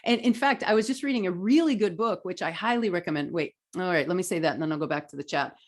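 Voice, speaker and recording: A middle-aged woman teaching a live online class, lecturing earnestly and emphatically, then reading viewer comments in a softer voice. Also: fairly low pitch, fast talker. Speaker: spiritual teacher running a live online training.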